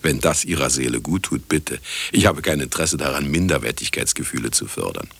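A sardonic, grandiose broadcaster in a radio drama, lecturing the listeners. His deep voice is gravelly and swoops theatrically from line to line, mocking as it goes. Some ambient noise sits under the speech. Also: expressive, brisk, fairly bright tone, dry recording, full character voice. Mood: wry, teasing, then indignant, mocking. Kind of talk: radio play monologue.

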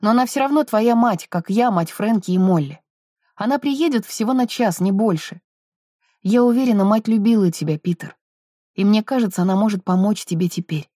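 A woman's voice: medium pitch, normal speed, mellow, no echo, studio quality, natural voice. A woman voicing an earnest, conciliatory wife and mother in an audiobook, hesitant yet persuasive. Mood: gently persuasive. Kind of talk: audiobook character dialogue.

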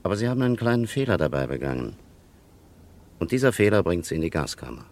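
An actor playing a harsh, smug police captain with a creaky, gruff voice, accusing deliberately in a 1960s radio crime drama. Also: male voice, sits low, very animated, darkish tone. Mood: menacing. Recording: old radio play, faint background noise.

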